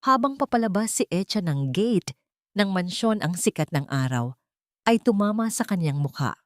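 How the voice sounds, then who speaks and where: A woman's voice: medium pitch, lively intonation, normal speed, no room sound, studio quality. A woman with a Filipino accent reading a scripted, dramatic story as its narrator.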